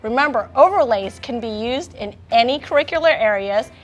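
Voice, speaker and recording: A woman with a high voice presents in an upbeat, scripted manner, addressing viewers directly. Faint music plays behind her. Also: lively intonation, normal speed, highs cut off.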